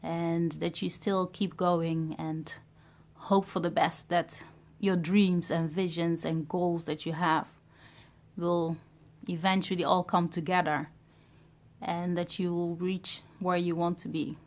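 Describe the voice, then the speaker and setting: A woman's voice: on the low side, flat delivery. A woman from Ghana in her thirties who grew up in Europe, talking to camera in a reflective, hesitant way about starting a business. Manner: reflective, hesitant, many pauses and fillers. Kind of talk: personal vlog talk to camera.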